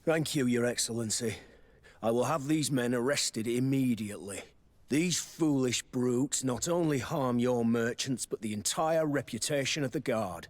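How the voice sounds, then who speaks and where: An actor playing a dutiful guard commander in a video game, courteous, formal and authoritative. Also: male voice, mid-range voice, moderate pace, lightly acted. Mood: stern, concerned.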